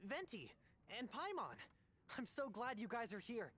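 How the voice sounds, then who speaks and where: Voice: medium pitch, moderate pace. An actor voicing an eager, kind young boy who wants to be an adventurer, youthful and earnest, in a video game. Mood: excited, grateful. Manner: earnest, animated.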